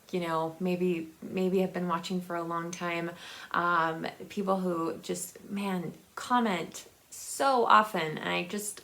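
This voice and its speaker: A woman hosting a book vlog, chatting casually and personally to viewers, often halting and repeating words as she searches for them. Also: medium pitch. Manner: informal, personal address to viewers. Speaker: host of a book video channel. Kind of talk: casual chat to camera.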